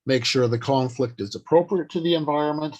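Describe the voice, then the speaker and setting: A man giving an informal instructional talk on writing, speaking deliberately in short, halting phrases with pauses. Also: fairly high pitch, muffled recording. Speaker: writing workshop presenter.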